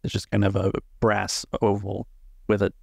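A man improvising narration as a tabletop game master, in a measured, halting way with drawn-out words. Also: fairly low pitch, completely flat delivery, gravelly, slightly rough, dry recording, studio quality.

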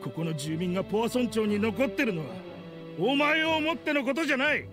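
A bitter, vengeful middle-aged man from an anime game, spits rough, contemptuous accusations. His fairly high voice swings widely in pitch, with music behind it. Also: animated, brisk. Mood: cruel taunting. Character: bitter, vengeful man in a grudge-driven confrontation.

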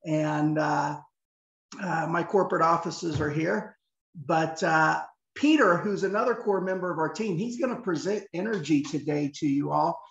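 A man's voice: fairly high pitch, normal speed, vocal fry, fairly bright tone. Folksy man introducing on a muffled call. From southeast Kansas, USA. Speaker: team member introducing speaker. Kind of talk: casual introduction. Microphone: video call. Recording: dull roomy video-call audio.

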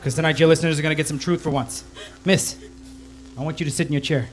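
A man's voice: fairly high pitch, fairly even intonation, fast, lightly acted. A man playing a menacing, unhinged killer in a 1920s radio thriller, commanding and theatrical. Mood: gloating, commanding. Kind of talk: old-time radio thriller acting.

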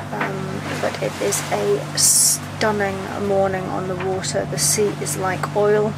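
Woman, middle-aged, upbeat vlog chat, handheld camera on a catamaran, heavy wind noise. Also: medium pitch. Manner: chatty, upbeat and cheerful. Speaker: sailing vlogger. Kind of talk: casual vlog narration to camera. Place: on a sailing catamaran at sea. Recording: loud wind and engine noise.

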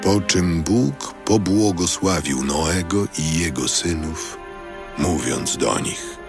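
A man narrating dramatized scripture in a solemn, measured, scripted style. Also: sits low, animated, vocal fry, over music.

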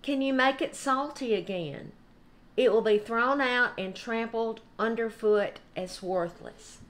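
Slow-talking older woman. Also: on the high side. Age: older, about 70s. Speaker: devotional host. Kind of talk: informal devotional talk. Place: home kitchen, indoors.

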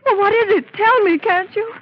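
A woman's voice: very high voice, a little fast. An actress playing an anxious young society woman in a 1930s radio drama, with breathless, alarmed talk. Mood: distraught. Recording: muffled old radio broadcast with crowd behind.

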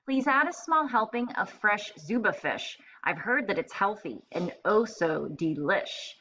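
A woman's voice: medium pitch, lively intonation, a little slow, bright, forceful tone, muffled recording, slight background noise. A woman voicing a tiny, chirpy little girl in a storybook, eagerly and precisely ordering what she wants. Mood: demanding, precise. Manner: eager, precise, bossy but polite. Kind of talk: children's storybook read-aloud.

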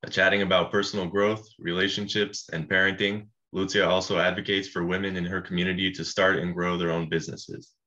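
Young man, very deep flat host voice. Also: fairly quick, few pauses. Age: young adult. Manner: laid-back and friendly, with "you know" fillers. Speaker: podcast host. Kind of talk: interview hosting. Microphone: video call webcam. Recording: dull lo-fi webcam audio.

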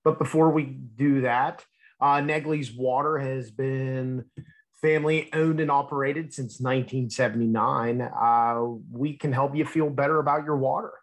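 A man's voice: mid-range voice, steady tone, measured pace. An American man reading a children's book aloud in a teacherly way, explaining and asking his young listeners questions.

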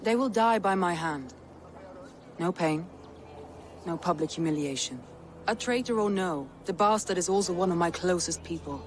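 An actress playing a grave, resolute Viking clan leader in a video game, speaking in a measured, solemn way. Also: female voice, on the low side, animated, slight background noise, lightly acted. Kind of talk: dramatic video game cutscene acting.

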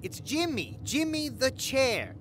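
A woman's voice: sits high, sing-song, slow, background noise, exaggerated voice. A woman playing a surly, threatening, easily irritated gangster henchman in an audio drama. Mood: surly, menacing.

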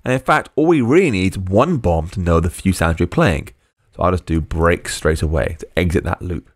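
Man, low-pitched, lively intonation, coding tutorial narration, explanatory, step-by-step dictation. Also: normal speed, no room sound, studio quality. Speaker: programming tutor. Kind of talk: screencast coding tutorial, explaining while typing.

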